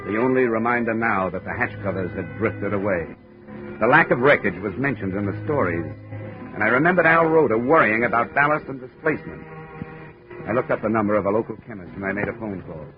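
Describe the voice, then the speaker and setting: A man's voice: on the low side, expressive, brisk, music in the background, close to an everyday voice. A man acting a tough, wisecracking investigator in a 1950s radio detective drama, with an American accent and a clipped, needling manner. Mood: matter-of-fact narration. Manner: hard-boiled, needling, clipped. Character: tough, wisecracking male insurance investigator narrating his case. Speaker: lead actor and narrator. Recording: muffled, noisy 1950s radio recording.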